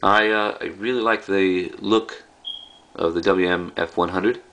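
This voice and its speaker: A man casually showing items as a video host, speaking in halting, offhand short phrases. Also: deep voice, fairly even intonation, a bit bright. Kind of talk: casual product showcase. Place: indoors in a room at home. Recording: clearly noisy home recording.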